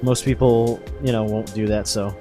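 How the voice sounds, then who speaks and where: A man hosting a podcast, casually explaining game update news in a halting, hedging way. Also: on the low side, steady tone, over music.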